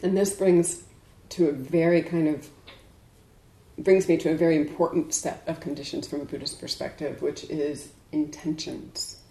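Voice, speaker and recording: A woman giving a dharma talk to a group as a Buddhist teacher, speaking in a measured, deliberate way with emphatic pauses. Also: low voice, steady tone, warm tone. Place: indoors in a room. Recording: echoey room.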